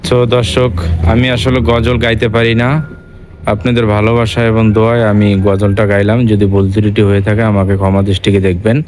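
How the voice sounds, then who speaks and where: A man's voice: fairly low pitch, completely flat delivery, brisk, a little mellow. Man chatting to vlog viewers. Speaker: vlog host. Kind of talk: casual vlog talk to viewers. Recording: noisy ambient background.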